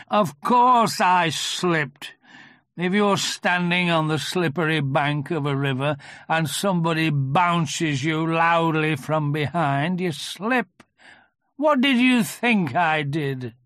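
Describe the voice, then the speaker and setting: A reader voicing a gloomy old male toy donkey in a children's storybook, gruff, ponderous and morose. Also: medium pitch, takes it slowly, a bit bright, dry recording, studio quality, voice pushed up. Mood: glum, aggrieved. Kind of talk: children's storybook character reading.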